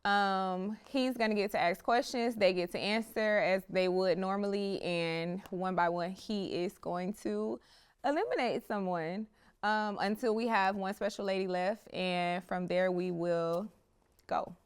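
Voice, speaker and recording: A woman's voice: medium pitch, a little slow, smooth. A woman co-hosting a podcast dating game, explaining rules and questioning the guest in an upbeat, giggly way. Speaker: podcast co-host.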